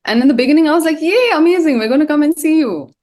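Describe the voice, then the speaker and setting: A woman's voice: sits high, animated, very fast, darkish tone. A woman being interviewed as a singer, talking casually in a slangy, rambling way. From India. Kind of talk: casual chat.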